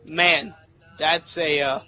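A young man casually telling a crude, profane anecdote over game chat, drawing it out with emphatic pauses. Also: a little high, level tone, sharp tone, soft background music. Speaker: storyteller among gamers. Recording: noisy game voice chat with clicks. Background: video game sounds and announcer.

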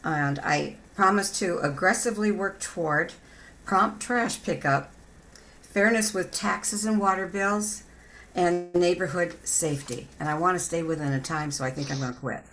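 A woman giving an informal campaign statement as a candidate, plain-spoken and deliberate. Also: fairly low pitch, a bit bright, poor audio. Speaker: city council candidate.

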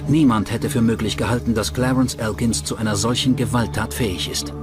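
A man's voice: medium pitch, fairly even intonation, fairly quick. A man reading scripted narration for a true-crime documentary. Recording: music under the voice. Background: music.

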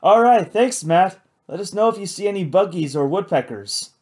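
A narrator as a brisk airship crewman. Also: medium pitch, lively intonation, darkish tone, pitched above the performer's own voice, lightly acted. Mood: amused. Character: brisk male airship crewman on ship's phone.

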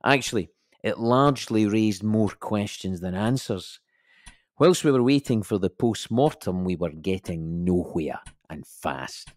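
An actor playing a measured, formal investigator of a suspicious death in an audio drama. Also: male voice, on the low side, animated, darkish tone, no echo. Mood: puzzled, methodical. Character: dry, officious male investigator of a suspicious death.

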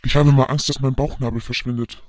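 A man's voice: medium pitch, fairly even intonation, quick pace, darkish tone, lightly acted. A man acting in a surreal radio play as an anxious, solemn figure who speaks in formal, repetitive declarations. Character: anxious, solemn man craving quiet and clean air.

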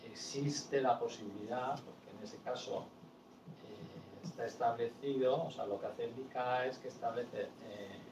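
A man's voice: medium pitch, steady tone, unhurried. Explanatory man in a roomy hall. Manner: explanatory, hesitant with fillers. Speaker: panelist answering on audiovisual grants. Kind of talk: panel discussion answers. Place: small event room with audience. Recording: roomy, distant, noisy room sound.

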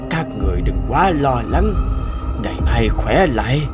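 A man's voice: medium pitch, big pitch swings, normal speed, music in the background, natural voice. A man voicing an ailing, dutiful old king in a fairy-tale cartoon, weary and grave. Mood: weak, weary.

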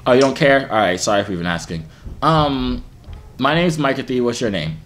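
Deadpan young man tossing out teasing lines and fake pickup lines on a video chat, his voice sitting at an ordinary middle pitch. A light hiss of noise runs underneath. He sounds like a webcam in a small indoor room. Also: fairly quick. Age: young adult. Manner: deadpan, playful trash talk. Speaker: YouTuber on video chat app.

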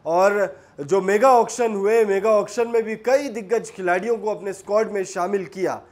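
High-voiced young man reading sports news. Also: steady tone, normal speed. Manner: emphatic, repetitive, standing with hands pressed together. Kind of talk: sports news bulletin to camera. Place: studio in front of a screen.

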